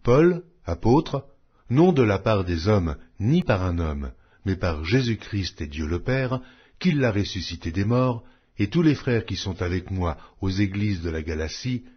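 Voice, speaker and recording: A man reading scripture aloud in a measured, solemn way. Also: expressive, husky, dull audio. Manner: measured, solemn reading with wide pitch swings. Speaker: Bible reader.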